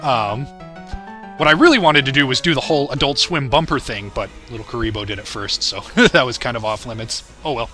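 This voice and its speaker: A man's voice: medium pitch, a little fast, sharp tone, soft background music. A man giving a casual, rambling commentary as the creator of a show, candid, self-deprecating and freely profane, often chuckling as they talk. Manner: chuckles often while talking. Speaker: creator recording a commentary on own parody series.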